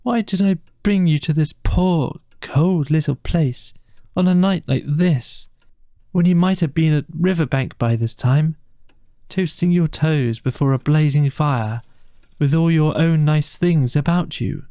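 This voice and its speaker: A man's voice: fairly high pitch, unhurried, warm tone, dry recording, studio quality, voice pushed up. A man voicing a gloomy, apologetic storybook mole who moans and stammers dolefully. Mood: self-reproachful. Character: gloomy, self-pitying mole, dismal and apologetic. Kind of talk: dramatised storybook reading.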